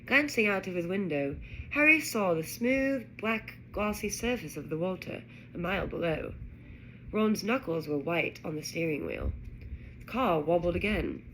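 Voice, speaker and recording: Female storybook reader, lower register, expressive and dramatic narration, light background noise. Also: normal speed, poor audio. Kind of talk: expressive read-aloud narration of a children's novel.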